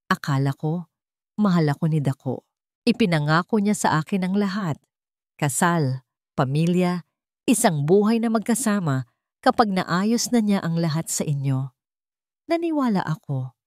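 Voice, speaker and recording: A woman acts out a jailed mistress confessing in a letter in a dramatic audio story. She is remorseful and earnest, her voice a touch low. The recording is close and dry. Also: animated, measured pace, long pauses, a little mellow, no echo. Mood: bitter, regretful.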